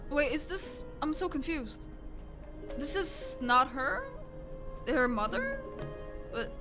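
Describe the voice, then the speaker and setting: A woman's voice: sits high, big pitch swings, takes it slowly. A woman streamer giving casual, slangy reaction commentary to a game. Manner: informal, slangy. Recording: game music playing behind voice. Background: game cutscene music.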